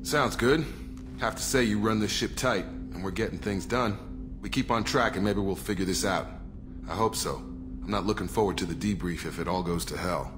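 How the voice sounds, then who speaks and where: A man voicing a candid ex-military officer with a deep, low voice and an easygoing, conversational manner. Game music plays clearly behind him. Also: moderate pace, lightly acted. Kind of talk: video game dialogue.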